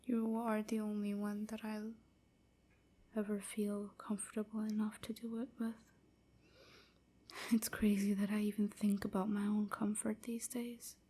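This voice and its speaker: A woman playing a gentle, wounded vampire slave in an intimate ASMR roleplay, whispering in a breathy, halting, submissive way. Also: mid-range voice, level tone. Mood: tender, grateful. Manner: slow, halting, hushed confiding.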